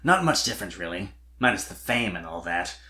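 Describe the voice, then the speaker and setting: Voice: sits low, very animated, a little fast, pushed and bright, voice pushed down, heavily acted. A performer voicing a shy, awkward young male dragon with a sheepish, hesitant manner in a cartoon fan story. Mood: wry, modest.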